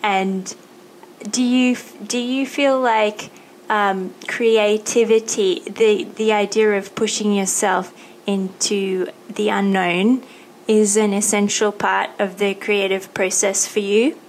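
A woman's voice: medium pitch, level tone, takes it slowly, a little hiss or hum, low-quality recording. A woman hosting a podcast interview, chatting casually with many fillers and long rambling turns. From Thailand. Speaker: podcast host.

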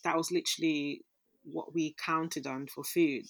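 A woman's voice: sits low, steady tone, unhurried, creaky, dry recording. A young woman from Austria talking casually as an interview guest, with informal, hesitant phrasing. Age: young adult.

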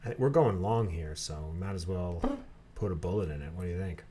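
A man co-hosting a podcast in casual chat, complaining in a deadpan, grumpy way. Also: low-pitched, a little fast, slight background noise. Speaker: podcast co-host. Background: music playing.

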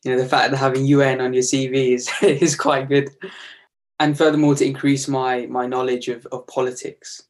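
A man's voice: medium pitch, flat delivery, moderate pace. A young man, a student, talking with wry, playfully grandiose humour. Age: young adult. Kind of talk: casual discussion.

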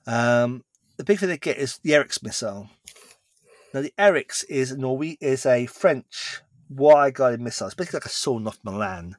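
Male podcast co-host, middle-pitched, explains rules at length, dry recording. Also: animated, moderate pace, no echo. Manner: explains rules in long runs, with many restarts. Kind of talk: casual chat about tabletop wargame rules.

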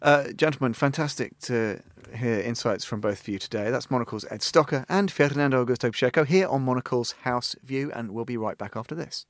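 A man's voice: medium pitch, a little fast, few pauses, no room sound. A man hosting a radio studio discussion, steering guests with friendly, wry banter. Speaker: radio host.

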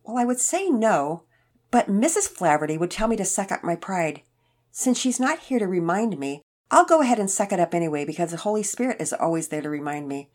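A woman voicing a sweet, frail elderly man in a story, with a gentle, folksy, rambling manner. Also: lowish voice, big pitch swings, brisk. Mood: grateful, folksy. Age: older. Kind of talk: acted character dialogue in children's story narration.

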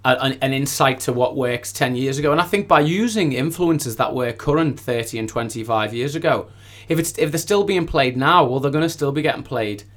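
A man's voice: medium pitch, fairly quick, hardly any pauses, a bit bright. A man who is a recording artist, chatting casually and informally in a studio interview, often repeating phrases for emphasis.

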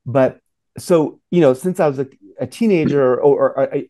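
A man's voice: mid-range voice, normal speed, warm tone. A man in his forties who grew up in Saudi Arabia, an author speaking as an interview guest, rambling with many fillers and restarts. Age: 40s. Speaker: author and professor.

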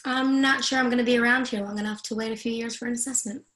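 A woman's voice: a little high, steady tone, fast talker, a bit bright, close to an everyday voice. A woman playing a confident, jaded senator's wife in a screenplay reading, teasing, assertive and freely swearing. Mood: frank. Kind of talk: dramatic screenplay table read.

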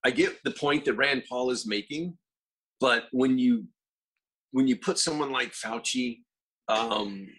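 A laid-back man co-hosting a casual chat show. His voice is bright and forward with a husky edge. He talks loosely and swears now and then. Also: mid-range voice, a little slow. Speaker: podcast co-host.

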